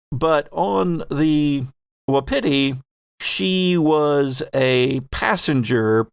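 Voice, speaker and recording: A man's voice: mid-range voice, vocal fry, dry recording, studio quality. A man hosting as game master, narrating an improvised tabletop roleplaying game. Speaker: game master and podcast host.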